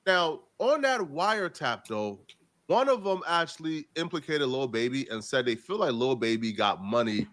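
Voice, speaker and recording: A man's voice: mid-range voice, very animated, moderate pace, a bit bright. A man giving casual reaction commentary on a court case.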